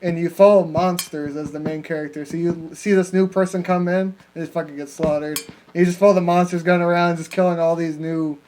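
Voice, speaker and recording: Male podcast co-host, high voice, profane and jokey casual chat, faint background noise. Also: little pitch movement, fairly quick, darkish tone, rough recording. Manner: profane, joking, rambling. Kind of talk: casual comedic chat among friends.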